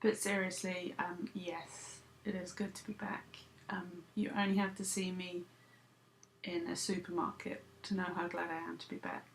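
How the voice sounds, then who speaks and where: A woman's voice: on the low side, flat delivery, normal speed, slight background noise, low-quality recording. A woman, vlogging to camera in a dry, self-deprecating, sarcastic way. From United Kingdom. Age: 30s. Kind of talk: casual talking to camera.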